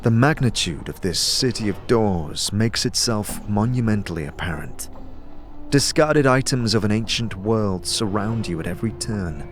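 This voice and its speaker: Lowish-voiced man narrating dark fantasy. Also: expressive, normal speed. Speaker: narrator, game master. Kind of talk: dramatic scripted narration.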